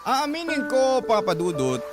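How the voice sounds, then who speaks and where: A man's voice: very high voice, huge pitch swings, unhurried, full character voice. An actor with a Filipino accent playing a grown man reflectively narrating his regretted youth, like a confessional letter. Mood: reflective, regretful. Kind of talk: dramatized first-person letter narration. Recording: loud music under the voice.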